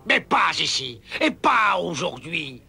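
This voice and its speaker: An actor playing a pompous, fawning high priest who serves a king in a cartoon. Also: big pitch swings, slow pace, gravelly, bright, forceful tone, slightly rough, heavily acted. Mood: haughty. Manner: pompous, obsequious, with nervous chuckles. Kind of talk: French cartoon dub acting.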